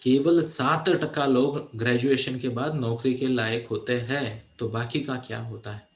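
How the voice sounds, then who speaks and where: A man's voice: medium pitch, steady tone, a little slow, reverberant. A man in his forties, a teenage mentor, giving a motivational talk and often asking his listeners "है ना?". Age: 40s. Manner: mixes English phrases into Hindi, asks "है ना?" often.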